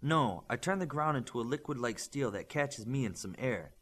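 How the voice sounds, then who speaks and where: A man's voice: medium pitch, lively intonation, normal speed, a bit bright, slightly rough. Man voicing an earnest young superpowered boy. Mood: eager, earnest. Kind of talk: audiobook character voice.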